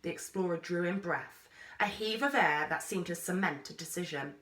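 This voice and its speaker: A woman reading a children's story aloud with expressive, measured, dramatic phrasing. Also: fairly low pitch. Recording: roomy echo, distant microphone.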